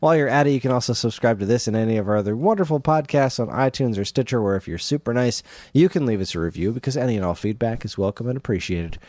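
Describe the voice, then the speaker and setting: A man's voice: mid-range voice, fast, a little mellow, dry recording, clean studio sound. A middle-aged man co-hosting a podcast in casual conversation, with a wry, joking way of talking. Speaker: podcast co-host. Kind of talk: casual chat.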